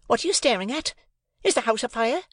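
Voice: medium pitch, moderate pace, no echo, clean studio sound, voice pushed up, lightly acted. A reader voicing a snappish, sarcastic older sister who delivers long reproachful tirades in an audiobook. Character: snappish, scolding older sister, sharp-tongued. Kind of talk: audiobook character voice.

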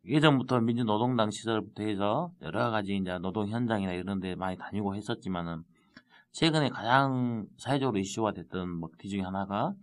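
A man's voice: lowish voice, a little fast. A man with a Jeolla accent, a city council member speaking earnestly as a guest on a local podcast. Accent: Jeolla dialect. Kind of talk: casual podcast conversation.

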